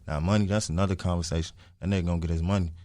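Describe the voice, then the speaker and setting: A man's voice: sits low, steady tone, very fast, mellow, dry recording, studio quality. A man, telling stories casually in heavy slang, emphatic, swearing and repeating phrases. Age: early twenties. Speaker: interview guest, music artist.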